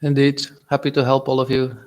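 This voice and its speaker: A young man from Belgium, speaking natively, giving casual, halting live-stream commentary on his trades and answering chat. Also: mid-range voice, completely flat delivery, darkish tone. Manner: casual, halting, reads chat aloud with fillers.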